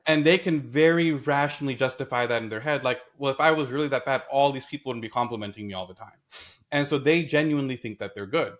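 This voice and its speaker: A man in a casual discussion, talking in an animated way and telling stories with acted quotes. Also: mid-range voice, fast talker. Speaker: podcast host.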